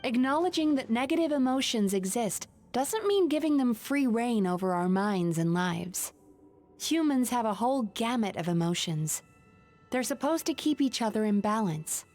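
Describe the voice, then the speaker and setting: A woman playing a composed, earnest dream therapist in a video game, measured, articulate and gently firm. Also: on the high side, lively intonation, faint music behind, natural voice.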